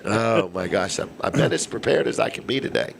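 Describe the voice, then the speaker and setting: A man hosting a live local radio show with a raspy voice, chatting in an easygoing, joking way. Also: medium pitch, lively intonation, a little fast, slight background noise. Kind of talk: casual live radio chat. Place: restaurant set for live broadcast.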